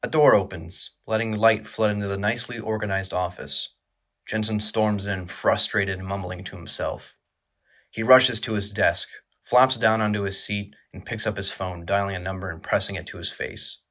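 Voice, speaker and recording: Low, bright-voiced male horror narrator. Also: fairly even intonation, moderate pace. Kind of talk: dramatic scripted narration of a horror audio drama.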